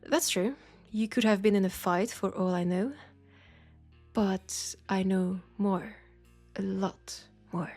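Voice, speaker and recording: Casting: actress as teasing French-accented witch for intimate fantasy roleplay audio. Husky, gravelly edge, very slow delivery with dramatic pauses. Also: medium pitch, steady tone, a little mellow, faint music behind. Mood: knowing, mysterious. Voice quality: husky, breathy with creak. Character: knowing, teasing, compassionate witch in a secluded werewolf town.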